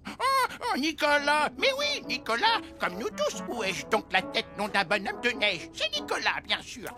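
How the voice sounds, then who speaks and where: A man's voice: extremely high pitch, huge pitch swings, normal speed, over music, exaggerated voice. An actor, a man, playing an ancient first-generation Santa Claus in a French children's cartoon, pompous, absent-minded and doddering. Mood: flustered, forgetful. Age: elderly.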